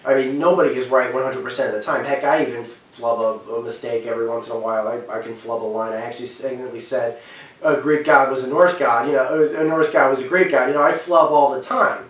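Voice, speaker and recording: A man's voice: medium pitch, fairly even intonation, fast talker. A man giving an unscripted opinion talk as a commentator, speaking emphatically and repeating phrases for stress. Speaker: video commentator. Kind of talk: unscripted opinion talk about film and games. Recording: very echoey room, distant mic.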